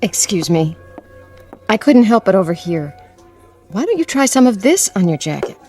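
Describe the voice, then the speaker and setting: An actress playing a prim, eager-to-help single lady in a vintage radio drama, polite and gently insistent. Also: mid-range voice, big pitch swings, moderate pace, soft background music, natural voice.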